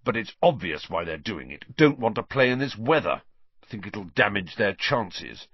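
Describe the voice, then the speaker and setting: A man's voice: lowish voice, sing-song, moderate pace, breathy edge, no room sound, lo-fi sound, heavily acted. A man reading a storybook, voicing an intense, anxious, bossy teenage team captain in an urgent, emphatic manner. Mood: angry.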